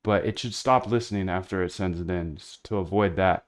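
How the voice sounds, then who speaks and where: Casual low-voiced man thinking aloud. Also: brisk. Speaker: developer hosting a coding video. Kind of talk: casual talk while working and testing.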